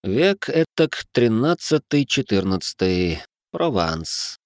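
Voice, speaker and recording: A man plays a courteous middle-aged Dutch gentleman who speaks slowly and evenly. His voice is low and dry, with a faintly amused politeness, as in an audiobook scene. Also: big pitch swings, no echo. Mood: polite.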